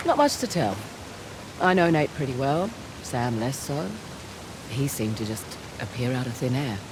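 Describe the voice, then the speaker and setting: A woman playing a confident, quick-witted treasure hunter in a video game, with a wry, breezy way of talking. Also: a bass voice, expressive, background noise. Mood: breezy, guarded. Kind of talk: naturalistic video game cutscene acting.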